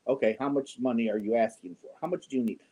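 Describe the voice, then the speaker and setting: Mellow-voiced chatty man in his fifties. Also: mid-range voice, fast, poor audio. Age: 50s. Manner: chatty and joking, often clears throat and chuckles. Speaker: yarn channel host. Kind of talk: casual livestream chat answering viewer comments.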